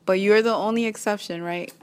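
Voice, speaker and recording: A woman's voice: medium pitch, steady tone, brisk, a little hiss or hum. A young woman from Kenya with a Kenyan accent, hosting a faith-based podcast in an upbeat, encouraging way. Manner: upbeat, encouraging, praise-filled. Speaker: podcast host.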